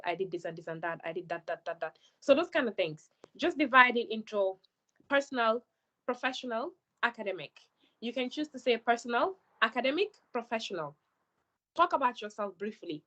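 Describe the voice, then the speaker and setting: A woman's voice: medium pitch, fairly bright tone, dull audio. A young Gambian woman with a Gambian accent, a scholarship mentor giving earnest, direct advice to applicants and repeating words for emphasis.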